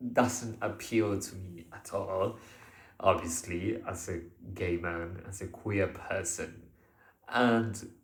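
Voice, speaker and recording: Slow, hesitant man in an echoey room. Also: low-pitched. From Argentina. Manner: hesitant, many fillers and restarts, unscripted. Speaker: vlogger. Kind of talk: casual monologue to camera.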